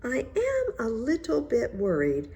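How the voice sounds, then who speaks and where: A woman's voice: a little high, wildly swooping pitch, a little slow, creaky, mellow, voice pushed up, heavily acted. A woman reading the part of a vain, fretful emperor in a storybook tale. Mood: anxious, self-assuring. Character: vain, fretful male emperor fond of finery.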